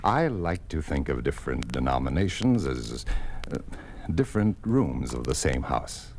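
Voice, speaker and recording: An actor playing a kindly older clergyman in a 1950s radio drama, speaking in a measured, gentle, fatherly way. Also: rumbling low, very animated. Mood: warm, solemn. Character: kindly older clergyman posing as a village carpenter. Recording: noisy old 1950s radio recording.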